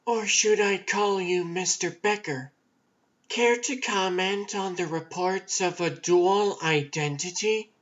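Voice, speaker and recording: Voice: medium pitch, takes it slowly, highs cut off, natural voice. A performer voicing a dry, skeptical, probing female newspaper reporter in a comic-book dub. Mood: dry skepticism.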